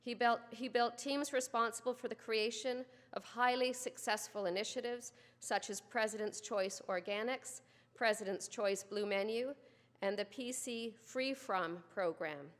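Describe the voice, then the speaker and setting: A woman dean reading a formal honorary degree citation at a ceremony in a steady, formal way. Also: on the high side, unhurried. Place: large ceremony hall. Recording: long hall reverb.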